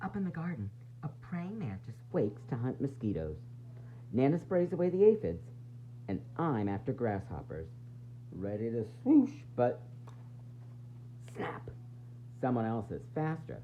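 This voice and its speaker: A man reading a children's picture book aloud in an expressive, dramatic, sing-song way, with varied emphasis. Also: mid-range voice, unhurried, long pauses. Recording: dull lo-fi sound, faint noise and hum.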